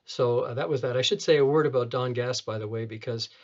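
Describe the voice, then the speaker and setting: An elderly man from Canada recalls his medical career in an interview. He speaks at a middling pitch, halting often and repeating words. The sound is a little dull, as from a video call in an office. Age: 70s. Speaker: retina surgeon, interviewee. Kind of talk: reminiscing in an interview.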